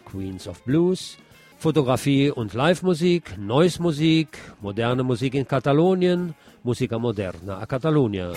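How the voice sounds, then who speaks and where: A man's voice: mid-range voice, darkish tone. A man hosting a radio magazine, reading scripted news reports in a presenter's role. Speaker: radio host and presenter, also an author.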